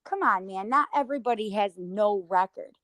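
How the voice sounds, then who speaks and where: A woman's voice: mid-range voice, moderate pace, fairly bright tone, no room sound, clean studio sound. A woman in casual livestream conversation, talking emphatically and peppering her speech with "you know".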